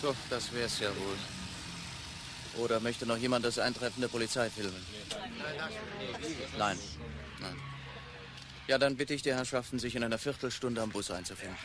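An actor as a weary clairvoyant over traffic noise. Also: male voice, medium pitch, moderate pace, pauses often, lightly acted. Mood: dry, weary. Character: weary male clairvoyant on a tour, politely resigned. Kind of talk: radio play acting. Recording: noisy outdoor scene, traffic ambience. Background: outdoor traffic noise.

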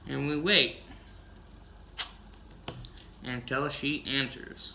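A man's voice: medium pitch, fairly even intonation, very slow, creaky, bright, forceful tone, slight background noise, low-quality recording. A man casually narrating a farming video game, humming "mm-hmm" between steps, recorded on a camera across a room. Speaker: gameplay narrator.

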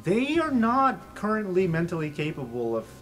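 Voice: mid-range voice, big pitch swings, moderate pace, a bit bright. Casual game master over background music. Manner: casual, informal, swearing freely. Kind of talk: improvised tabletop game narration and table talk. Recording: music under the talk.

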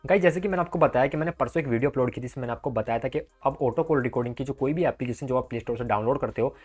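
Fast-talking young man. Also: medium pitch, darkish tone, faint music behind. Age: 20s. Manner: conversational, explains step by step with frequent tag phrases. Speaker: host of a tech tips channel. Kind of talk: explanatory tech vlog to camera and screen tutorial. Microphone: clip-on lapel mic.

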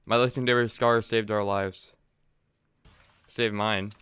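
A young man streaming a game from his room, chatting excitedly and repeating himself. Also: on the low side, slow pace, smooth, no room sound. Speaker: gaming streamer. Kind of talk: casual gameplay chat. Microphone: desk mic, wearing headset.

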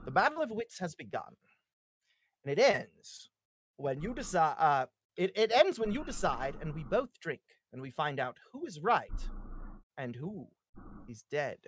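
A woman playing a calm, terse masked swordsman in a live script read, measured and understated. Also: deep voice, breathy edge, voice pushed down, full character voice. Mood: cool challenge.

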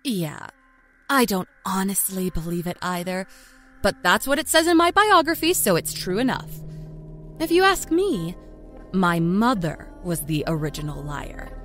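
Wry, animated small-town Southern storyteller. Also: female voice, mid-range voice, unhurried, soft background music. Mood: sly, confiding. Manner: wry, folksy, confiding storyteller. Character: sly small-town Southern woman, a self-confessed professional liar. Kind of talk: dramatic first-person horror story narration. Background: musical score.

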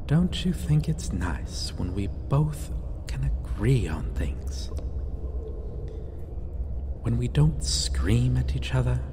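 A man voicing a possessive, centuries-old male vampire who keeps a captive, talking softly and controllingly, sometimes in whispers, in an ASMR roleplay. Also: medium pitch, big-room sound, noisy background. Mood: soft whispery. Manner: soft, doting and controlling, drops into whispers.

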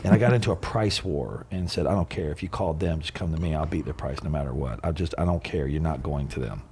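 Deep-voiced blunt man hosting a podcast. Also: quick pace, slight background noise. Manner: blunt, animated storyteller who swears casually. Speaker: podcast host. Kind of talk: casual interview chat. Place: podcast studio with wooden bar shelves. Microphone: boom mic and headphones.

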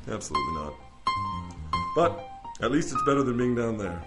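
An actor playing a shrewd, wry lawyer with a theatrical, probing way of questioning in an audio drama. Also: male voice, mid-range voice, big pitch swings, a little fast, loud music behind the voice, lightly acted. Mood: uneasy, wry. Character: wry, shrewd male lawyer pleading in a celestial court. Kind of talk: audio drama courtroom acting.

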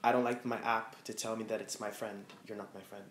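A man's voice: lowish voice, level tone, a little fast, a bit bright. A man in his thirties from the Netherlands, speaking as a guest before a small audience in a candid, reflective way with many fillers. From Amsterdam, Netherlands. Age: 30s. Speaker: guest, fashion stylist. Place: room with a live audience. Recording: roomy echo, faint noise.

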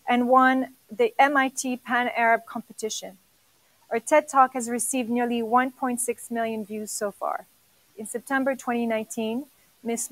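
A woman hosting a panel, reading formal guest introductions with occasional pauses, filmed in a studio. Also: a little high, no echo, rough recording. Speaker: panel host. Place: studio with dark background.

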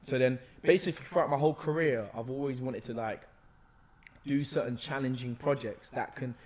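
A young man with a London accent and a mid-range voice. He talks casually into a close podcast mic, telling stories in slangy, expressive phrases. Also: medium pitch, brisk. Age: young adult. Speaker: actor, podcast guest. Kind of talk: casual conversational storytelling. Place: bright indoor room, seated on a sofa. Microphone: podcast microphone on a boom arm, close.

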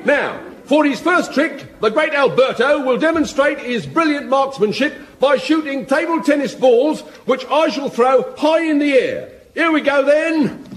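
An actor playing a pompous variety-show compere in a vintage radio comedy. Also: male voice, extremely high pitch, lively intonation, measured pace. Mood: grand. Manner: grand, pompous announcing.

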